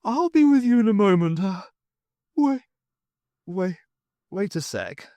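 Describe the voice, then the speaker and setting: A wry, groggy woman adventurer, played by a narrator, offhand and halting. Her pitch sits raised and moves a lot, in a dry sound. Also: lively intonation, warm tone, dry recording, muffled recording, close to an everyday voice.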